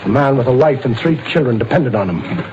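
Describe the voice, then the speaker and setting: A man's voice: medium pitch, expressive, fast, lightly acted. An actor playing a loyal, hot-tempered male sidekick in a 1940s radio drama. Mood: gruff. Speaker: detective's partner. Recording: dull lo-fi old radio recording with hiss.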